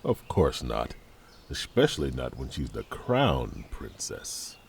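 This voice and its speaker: A man playing a dry, weary royal knight and protector in a fantasy audio drama, with a gravelly voice. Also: very deep voice, huge pitch swings, slow pace, a little hiss or hum, exaggerated voice. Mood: dry exasperation.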